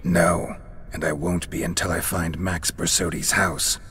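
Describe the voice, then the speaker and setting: An actor playing a gruff, weary monster hunter in a video game, speaking in a terse, deadpan way. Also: male voice, low-pitched, measured pace, a little hiss or hum, heavily acted. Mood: dry. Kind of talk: understated video game acting.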